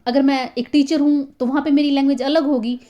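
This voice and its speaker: A woman's voice: high voice, fairly even intonation, fairly quick, mellow, lo-fi sound. A woman teaching a lecture, in a conversational way that repeats points and keeps checking with listeners. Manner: conversational, repeats herself, many fillers and checking questions. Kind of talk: explaining literary theory in a recorded lecture.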